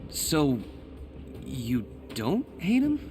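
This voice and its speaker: Boyish, animated young superhero. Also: male voice, a little high, extremely slow, full character voice. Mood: cautious. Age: young adult. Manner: boyish, nervous, hesitant. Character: anxious, earnest young superhero with a double life. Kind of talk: video game cutscene acting. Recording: clear background noise and ambience.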